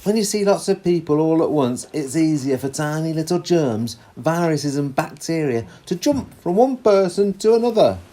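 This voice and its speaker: A man playing a patient, reassuring father who explains things in a children's storybook, with a husky voice. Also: fairly high pitch, animated, moderate pace, hardly any pauses, mellow, close to an everyday voice. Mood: reassuring, explaining. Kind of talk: read-aloud children's storybook dialogue.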